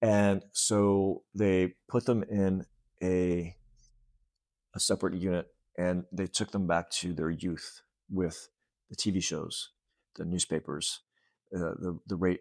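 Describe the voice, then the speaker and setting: A man's voice: low voice, a little mellow, dry recording, clean studio sound. A man in his late fifties talking earnestly and casually as an interview guest, often breaking off to restart. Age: late 50s. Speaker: fitness center owner, interview guest.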